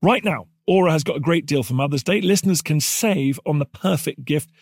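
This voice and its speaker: A man's voice: a little high, a little fast, no room sound, studio quality. A man presenting an advertisement in a conversational, scripted read.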